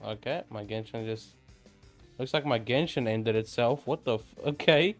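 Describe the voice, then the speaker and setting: A man's voice: medium pitch, moderate pace, music in the background. A man doing casual, slangy gameplay commentary, reacting off the cuff as a streamer. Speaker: gaming streamer.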